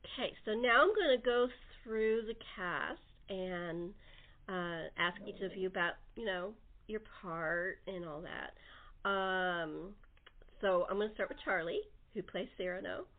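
A woman's voice: medium pitch, measured pace. A woman hosting a casual interview, speaking in an encouraging, agreeable way. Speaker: host and producer. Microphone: telephone or internet call. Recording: thin phone-line sound.

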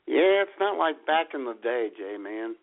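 Gravelly animated man on lo-fi radio. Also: medium pitch, a little slow. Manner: animated, playful, does mock grumpy voices. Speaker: radio show co-host. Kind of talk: comedic radio banter. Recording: phone-like radio audio.

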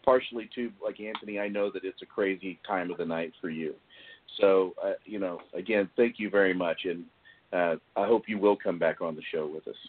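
Male podcast host, lowish voice, hesitant delivery with many restarts, tinny call-line sound. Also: a little hiss or hum. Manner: halting, repeats words often. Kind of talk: casual podcast discussion. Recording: tinny phone-like call audio.

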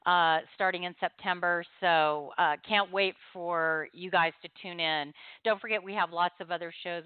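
Low, smooth-voiced woman radio host. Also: no room sound, phone-quality sound. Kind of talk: casual radio talk, then reading a story aloud. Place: radio studio.

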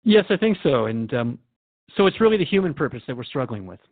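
A man's voice: mid-range voice, big pitch swings, fast talker, no room sound, phone-quality sound. A man playing a curious, polite radio host in an audio drama, interviewing in a courteous, conversational way. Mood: inquisitive, agreeable.

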